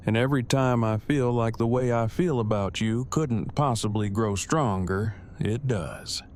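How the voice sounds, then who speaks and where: A man's voice: fairly low pitch, a little mellow, a little hiss or hum, lightly acted. An actor playing a shy, earnest man in love, speaking in stiff, wordy, formal phrases in an audio drama.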